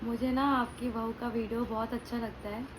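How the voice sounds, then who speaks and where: A woman's voice: on the high side, level tone, normal speed. A young woman talking informally and playfully, as in a casual family vlog with prank calls. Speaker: family vlogger. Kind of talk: casual chat and prank calling. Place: at home, indoors. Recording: noisy home phone recording.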